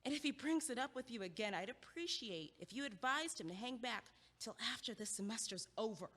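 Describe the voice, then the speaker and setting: A woman playing a sharp, guarded, quick-witted young college student in a naturalistic comedic audio play. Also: on the high side, lively intonation. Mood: guarded, insistent. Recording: echoey hallway-like reverb.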